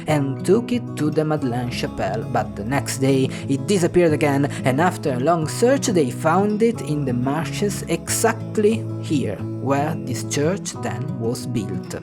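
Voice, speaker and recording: A man with an Italian accent, a native Italian speaker from northern Italy, narrating his travel vlog in a wry, chatty way. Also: medium pitch. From Piedmont, northern Italy. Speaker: travel vlogger. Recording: music underneath.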